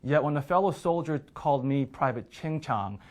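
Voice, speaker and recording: A man, an Army veteran, giving an earnest, steady account to camera. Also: on the high side, moderate pace. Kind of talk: testimony to camera.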